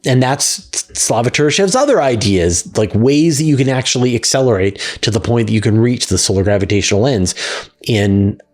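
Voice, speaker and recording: A man's voice: medium pitch, lively intonation, fairly quick. A middle-aged man hosting a question show, answering in a conversational, explanatory way and leaning on key words for emphasis. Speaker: host of a space science question show.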